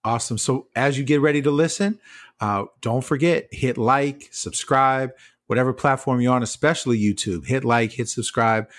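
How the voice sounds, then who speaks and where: An upbeat man hosting a podcast, easygoing and encouraging toward his guest. His voice sits at a middle pitch. The sound is dry, with no room echo. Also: normal speed. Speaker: podcast host.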